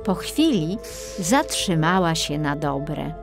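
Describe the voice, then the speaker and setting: Woman narrator, unhurried, music behind, expressive suspenseful children's storytelling. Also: low voice, creaky.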